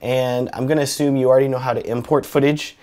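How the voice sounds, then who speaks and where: A young man with a slightly raspy, cold-roughened voice, teaching color grading in a chatty, joking tutorial. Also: mid-range voice, little pitch movement, fast, dark tone. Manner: joking, chatty, self-deprecating. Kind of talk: casual tutorial talk.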